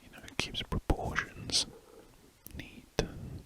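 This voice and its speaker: Whispering man drawing. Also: slow pace. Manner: soft rambling whisper with self-corrections. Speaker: ASMR drawing channel host. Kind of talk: whispered ASMR chat while drawing. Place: indoors at a drawing desk.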